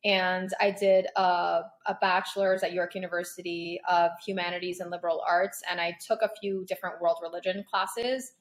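A woman's voice: lowish voice, steady tone, normal speed, few pauses. A young woman, born in Paris and raised in Toronto, answering interview questions in a chatty, searching way with many ums. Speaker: guest, content creator.

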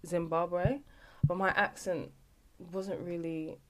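A woman's voice: on the low side, fairly even intonation, slow pace. A young woman, a podcast guest telling the hosts about her acting work in a casual chat and often restarting her sentences. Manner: talkative, restarts sentences while explaining.

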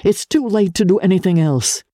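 Female reader as an ardent gentleman lover, reckless, insistent, formal speech, husky, mellow, literary audiobook. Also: mid-range voice, lively intonation, normal speed, no room sound, clean studio sound, pitched above the performer's own voice, natural voice. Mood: reckless, bewildered. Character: impassioned, reckless engaged gentleman in love. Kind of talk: dramatic literary audiobook reading.

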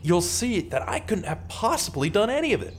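Man acting an earnest, high-voiced, desperate journalist. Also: big pitch swings, brisk, a little hiss or hum. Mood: panicked, pleading. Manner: urgent, pleading, dramatic. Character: earnest, desperate male journalist wrongly accused of murder. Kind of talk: radio theater acting.

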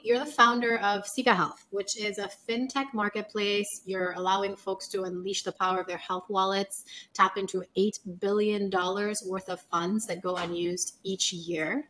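A woman's voice: mid-range voice, pushed and bright, poor audio. A woman hosting an interview, upbeat and encouraging as she asks questions. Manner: upbeat, encouraging, laughs easily. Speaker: podcast host.

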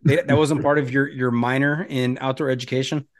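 A man's voice: mid-range voice, flat delivery, brisk, no room sound, studio quality. A man with an American accent hosting a casual interview, asking curious questions and bantering with his guest. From United States. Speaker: podcast host. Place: studio.

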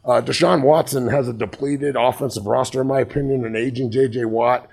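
Hoarse, gravelly former player talking football. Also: male voice, mid-range voice, fairly even intonation, brisk, darkish tone. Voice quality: raspy, gravelly. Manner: blunt, conversational, frequent restarts and swearing. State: hoarse from yelling. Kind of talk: casual sports commentary.

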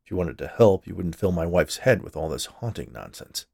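A man voicing a grumpy, sarcastic, dismissive husband with a gruff, grumbling delivery in a storybook reading. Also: low-pitched, sing-song, brisk, dark tone, no room sound, studio quality, heavily acted.

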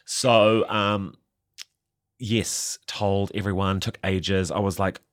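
A man in his thirties with a New Zealand accent, chatting as a comedian guest, animated and self-mocking. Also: low-pitched, takes it slowly, no room sound, clean studio sound. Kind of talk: casual chat.

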